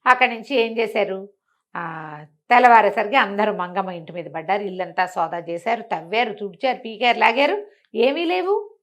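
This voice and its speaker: A woman narrates a folk tale for bedtime in an everyday, chatty style. Her voice sits at a middle pitch. She is expressive, often asking rhetorical questions and breaking into exclamations as she tells it. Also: normal speed. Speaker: storyteller. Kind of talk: oral bedtime storytelling, conversational.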